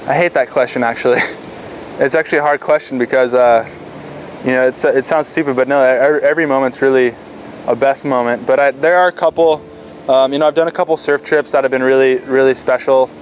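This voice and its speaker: A teenage man answering interview questions in a relaxed, casual way, with frequent fillers and restarts. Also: mid-range voice, brisk, slight background noise. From Florida. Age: teens. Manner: laid-back, lots of fillers and restarts. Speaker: stand-up paddle athlete.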